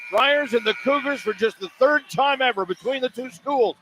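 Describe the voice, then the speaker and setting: A man's voice: very high voice, normal speed, a bit bright, a little hiss or hum, poor audio. An American man hosting a sports radio pregame broadcast as play-by-play announcer, with a polished delivery.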